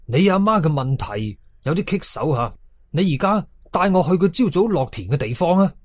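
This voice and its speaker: A man's voice: fairly high pitch, sing-song, moderate pace, dry recording. A man voicing a stern, angry Taoist exorcist with a commanding, declamatory manner in a horror audio story. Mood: grave, commanding.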